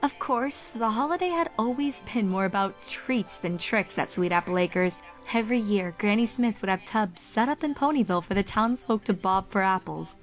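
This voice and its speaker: A woman's voice: medium pitch, lively intonation, normal speed, few pauses. A woman reading a scripted story as a dramatic narrator. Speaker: fan fiction narrator. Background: music under the reading.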